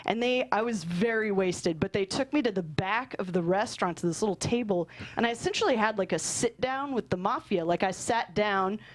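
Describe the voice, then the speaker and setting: A woman's voice: medium pitch, brisk, hardly any pauses. A woman guest telling stories casually and comedically, swearing and acting out what people said. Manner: animated quoting, sweary, laughs often, says "like" a lot. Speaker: guest screenwriter and filmmaker. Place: podcast studio.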